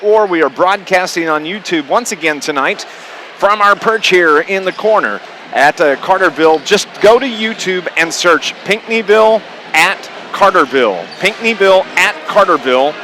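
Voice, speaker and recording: A man's voice: a little high, bright, forceful tone, a little hiss or hum. A man hosting a live sports radio pregame show as play-by-play announcer, talking in a conversational, folksy way. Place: corner perch in a school gymnasium. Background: gym ambience, bouncing basketballs.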